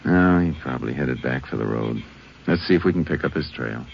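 An actor playing a steady, laconic Texas Ranger investigator in a 1950s radio drama. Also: male voice, full character voice. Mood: grim, weary. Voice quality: very deep, gravelly. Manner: laconic, folksy, measured. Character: steady male Texas Ranger investigator, gentle with children, grimly weary. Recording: dull, noisy old radio recording.

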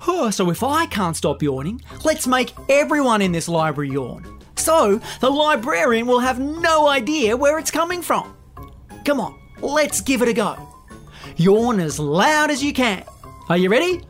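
Cheeky, excitable kids' science podcast host played by a woman: high voice, very animated, theatrical, speaking straight to young listeners. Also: a little slow, soft background music. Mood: playful, explaining. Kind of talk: playful scripted children's podcast performance.

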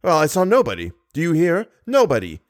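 A man's voice: a little high, huge pitch swings, brisk, no echo, studio quality, voice pushed up. A man voicing a frantic, harried male stage manager who roars and fumes in exasperation. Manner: roaring, exasperated, urgent.

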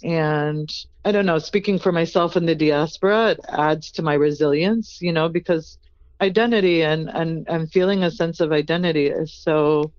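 An earnest chef talks through a long interview answer with thoughtful pauses and fillers. The voice is smooth and clear. The recording sounds a little dull and lo-fi. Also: medium pitch, darkish tone. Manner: earnest, thoughtful, frequent "you know" fillers.

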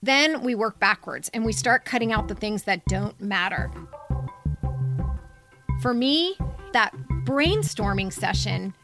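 A woman's voice: mid-range voice, expressive, takes it slowly, pauses often, music in the background. A woman podcast co-host and editor talking conversationally, with a candid, casually profane manner. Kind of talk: conversational podcast introduction and commentary.

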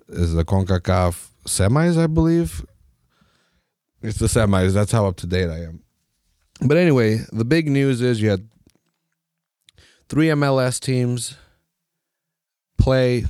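A man from Mexico, hosting a podcast in a profane, animated rant full of mocking voices. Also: lowish voice, no echo, clean studio sound. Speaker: podcast host. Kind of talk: casual opinionated rant.

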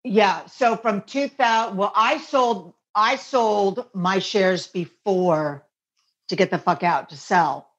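A woman guest telling her business story in a candid, halting way. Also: medium pitch. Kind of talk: casual interview. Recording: dull lo-fi audio.

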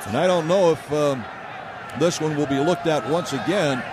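A man calling a football game live as a play-by-play announcer, brisk and following the action. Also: a little high, noisy background. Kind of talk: live sports commentary. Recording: archival broadcast with loud crowd.

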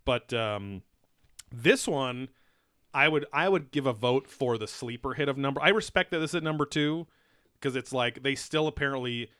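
A man with a bright, forceful and smooth voice talks through a show in loose, profane podcast chat. He rambles with plenty of fillers and restarts. The sound is dry and close. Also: mid-range voice, normal speed, no echo. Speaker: podcast co-host. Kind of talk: casual chat reviewing a TV show.

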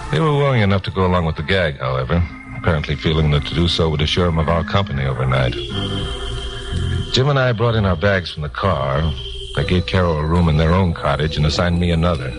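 Male lead for 1950s radio crime serial: low-voiced, self-assured commentator-detective, music on the recording. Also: animated, a little fast, rough recording. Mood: tense narrating. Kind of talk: 1950s radio drama acting and first-person narration.

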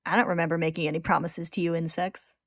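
A woman's voice: on the low side, little pitch movement, fast talker, dry recording, clean studio sound, natural voice. A woman voicing a smug, taunting shapeshifting monster that gloats with cruel glee in a story retelling.

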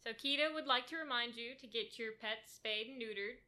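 Soft-spoken woman with a bright voice. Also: a little high, fairly quick. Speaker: podcast co-host. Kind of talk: casual chat. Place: kitchen table in an apartment.

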